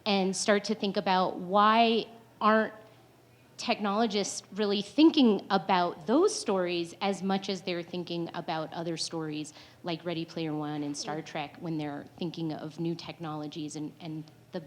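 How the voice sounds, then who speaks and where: A woman's voice: on the low side, steady tone, moderate pace. A woman speaking on a live panel, conversational and full of restarts, laughing often. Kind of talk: panel discussion.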